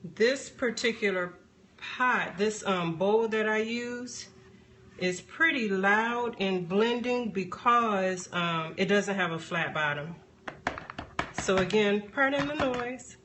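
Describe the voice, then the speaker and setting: A woman chatting casually on a live stream as she demonstrates a craft, unhurried and thinking aloud as she works. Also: medium pitch, a bit bright. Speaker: soap maker hosting a live stream. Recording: background noise, live phone stream.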